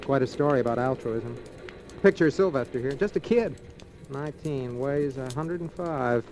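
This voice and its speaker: An actor playing a glum, sensible American bookkeeper, plainspoken and dry, in vintage radio drama style. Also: male voice, mid-range voice, normal speed, a little mellow, natural voice, low-quality recording. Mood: surprised. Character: glum, sensible, honest bookkeeper, resigned to trouble. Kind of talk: 1940s-50s American radio drama acting. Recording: old radio recording, faint music.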